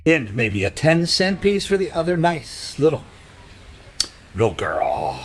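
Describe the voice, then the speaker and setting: A man playing a kindly, lonely old man who mutters gently to his cat and pleads, in an audio drama. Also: a little high, very animated, measured pace, a little hiss or hum. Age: older.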